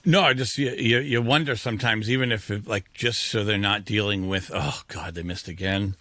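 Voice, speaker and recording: Bright, low-pitched man in casual chat. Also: moderate pace, no room sound. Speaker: podcast panelist.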